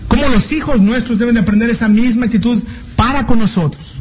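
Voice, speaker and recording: A man's voice: very high-pitched, fast talker, background noise. A man from Mexico preaching a sermon, earnest, asking rhetorical questions and drawing out key words for emphasis.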